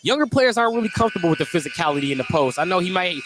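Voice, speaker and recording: A man's voice: high-pitched, very animated, racing, over music. A man calling a live basketball game as the radio play-by-play announcer, describing the action in clipped running phrases.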